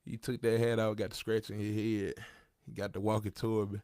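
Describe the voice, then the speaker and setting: A man's voice: fairly low pitch, level tone, a little fast, dark tone, no room sound, clean studio sound. A man in his thirties hosting a livestream, talking casually with slang and repeating his points. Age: 30s. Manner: gravelly, conversational, repeats himself, street slang. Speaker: livestream host.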